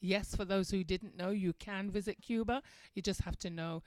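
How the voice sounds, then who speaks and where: A woman's voice: mid-range voice, fairly even intonation, normal speed, a little mellow, no echo. Woman radio host, dry studio sound. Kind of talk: live internet radio commentary, addressing chat room.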